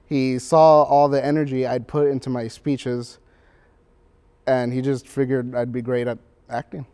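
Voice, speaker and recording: A man's voice: mid-range voice, little pitch movement, a little slow, warm tone, clean tone. A teenage male student actor recounting things casually in an interview. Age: teens, high school student.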